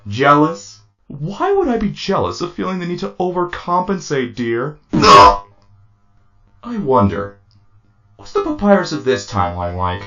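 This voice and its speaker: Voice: medium pitch, huge pitch swings, measured pace, pauses often, bright, forceful tone, full character voice. An actor voicing a vain, flirtatious robot showman with a theatrical, drawling, campy manner, in a comic dub. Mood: catty, smug. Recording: dull lo-fi audio with music behind.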